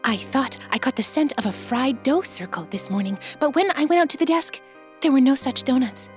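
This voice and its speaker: Woman playing a high-voiced, spaced-out sweet librarian. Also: lively intonation, a little fast, soft background music. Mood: earnest, curious. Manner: quaint formal diction, dreamy, matter-of-fact. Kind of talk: audio drama acting.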